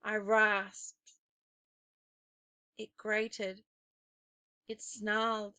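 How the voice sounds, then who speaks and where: Bright-voiced woman reading a story dramatically. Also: mid-range voice, clean tone, no room sound. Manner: expressive, deliberate, suspenseful phrasing. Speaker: teacher reading a children's novel aloud.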